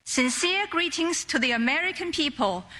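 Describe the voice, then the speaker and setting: Female interpreter, formal and careful, high and bright. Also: animated, moderate pace.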